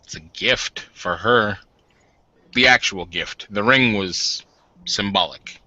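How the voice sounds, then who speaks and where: Male player as teasing, newly married werewolf warden, blunt tabletop game character. Low-pitched and very bright, lo-fi capture. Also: slow pace, dry recording, exaggerated voice. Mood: earnest. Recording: dull lo-fi audio.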